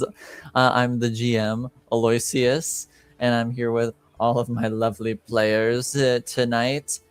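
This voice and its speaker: A man hosting a tabletop roleplaying livestream as game master, chatting casually. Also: medium pitch.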